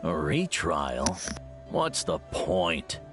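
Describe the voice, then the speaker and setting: An actor playing a laid-back, self-deprecating young warrior in a video game, talking in a lazy, shrugging way. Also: male voice, extremely low pitch, huge pitch swings, extremely slow, over music, full character voice.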